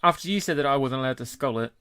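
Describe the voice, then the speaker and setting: A man co-hosting a beer podcast, talking in a relaxed, casual way and cursing freely. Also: mid-range voice, very fast, smooth, dry recording, clean studio sound. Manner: relaxed, laid-back, swears casually. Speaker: podcast co-host. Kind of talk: casual chat.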